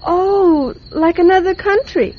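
Little girl in a radio play, high slow voice, curious n earnest, muffled recording. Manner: wide-eyed, earnest, childlike chatter. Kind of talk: radio drama acting. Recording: dull, noisy radio drama recording.

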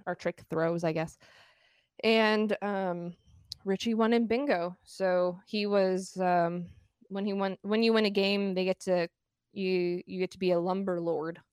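A woman's voice: medium pitch, clean tone, dry recording, clean studio sound. A woman co-hosting a podcast, chatting casually with lots of fillers and restarts. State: asthmatic cough at times. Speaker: podcast co-host. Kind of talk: casual chat.

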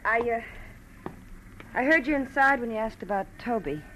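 A woman's voice: on the high side, animated, moderate pace, fairly bright tone, low-quality recording. An actress playing a guarded, lonely young woman with an American accent, speaking tentatively in 1940s radio drama style. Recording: vintage radio recording, faint noise.

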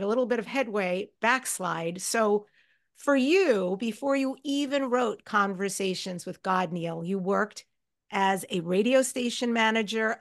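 A woman's voice: medium pitch, fairly bright tone. A woman hosting a podcast interview in a conversational, effusive and emphatic way.

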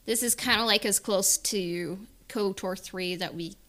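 A woman's voice: mid-range voice, unhurried, sharp tone. A woman in casual discussion as a podcast panelist, giving opinions in a hesitant, hedging, informal way. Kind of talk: casual chat, opinion on a book.

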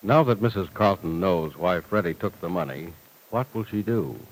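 A man announcing a vintage radio serial in a scripted style, with episode teasers and sponsor pitches. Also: lively intonation, moderate pace, poor audio. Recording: vintage radio broadcast recording.

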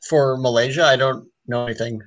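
A man's voice: medium pitch, fairly even intonation, brisk. A man chatting playfully on a video call, with frequent laughs. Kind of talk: casual video call chat.

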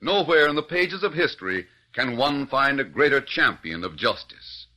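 Stirring, bright-voiced radio announcer man. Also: mid-range voice, big pitch swings, moderate pace. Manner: stirring, declamatory. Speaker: announcer and narrator. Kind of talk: dramatic 1940s radio announcing.

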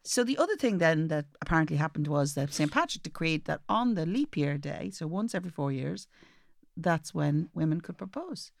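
Irish female podcast co-host, slightly low register, casual comic storyteller, dry close sound. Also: sing-song, normal speed, vocal fry, dry recording. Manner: chatty anecdotal storytelling.

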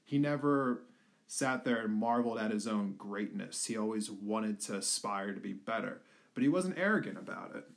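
A young man from the Philadelphia area talking earnestly in a personal tribute, halting with many fillers. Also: fairly low pitch, animated, vocal fry. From Philadelphia area, USA. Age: young adult.

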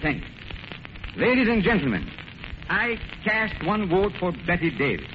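A man's voice: sits high, huge pitch swings, unhurried, full character voice. A man playing a courteous, dryly witty guest in a scripted old radio comedy, with formal, polite speech. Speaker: guest star. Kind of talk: 1940s radio comedy acting. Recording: noisy, muffled old radio recording.

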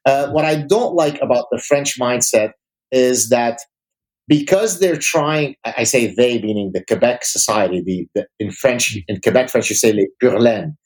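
A man's voice: mid-range voice, normal speed, darkish tone, rough recording. A man from Lebanon whose first language is Arabic, giving emphatic, articulate opinions in formal vocabulary as an academic interview guest.

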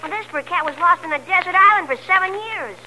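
A woman playing an excitable, gossipy, admiring young pool attendant in a 1940s radio comedy. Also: very high voice, fast talker, exaggerated voice. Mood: eager, admiring. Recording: noisy vintage radio recording.

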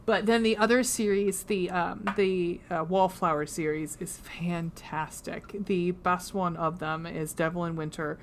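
A woman with a low voice co-hosting a podcast, chatting casually and swearing freely, with frequent ums and trailing thoughts. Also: steady tone, measured pace, few pauses, slight background noise. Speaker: podcast co-host. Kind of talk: casual chat.

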